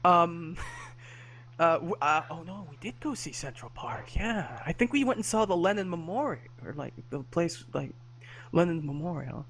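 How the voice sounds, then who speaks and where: A man chats on a podcast, pausing often with fillers and correcting himself mid-sentence. His voice sits a little high for a man. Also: lively intonation, a bit bright. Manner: hesitant, many fillers and self-corrections. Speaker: podcast co-host. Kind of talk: casual chat.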